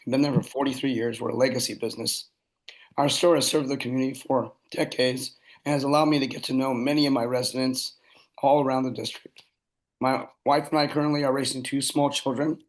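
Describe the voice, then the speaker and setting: A hoarse man in his fifties, a local candidate, introducing himself plainly and a bit haltingly over a video call. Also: medium pitch, rough recording. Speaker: supervisor candidate.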